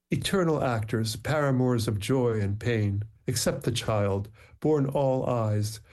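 A middle-aged man with a mid-pitched voice speaks in a measured, reflective way. In conversation he hesitates with soft ums. When he reads his poems he slows right down and lets each line settle. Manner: measured, reflective, many ums when talking, slow deliberate reading. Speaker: poet, interview guest. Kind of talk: conversation and slow poetry reading.

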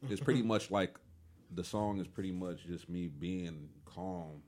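A young man with a deep voice, talking as a musician guest in a calm, laid-back way. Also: a little slow. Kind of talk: casual interview chat.

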